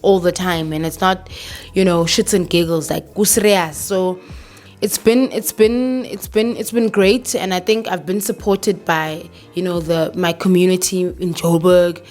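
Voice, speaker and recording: A woman's voice: lowish voice, steady tone, measured pace, a little mellow, faint music behind. A South African woman in her thirties talking candidly to camera in a casual vlog, slipping in local words and swearing now and then. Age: 30s. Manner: candid, code-switching, swears, laughs. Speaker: vlogger.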